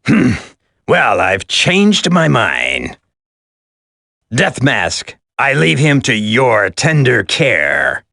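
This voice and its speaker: A smug, sadistic male terrorist villain from a video game. He taunts slowly, with theatrical swoops of pitch and a cold, mocking drawl. The voice is sharp and gravelly. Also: wildly swooping pitch, no room sound, studio quality, heavily acted. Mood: smug threat.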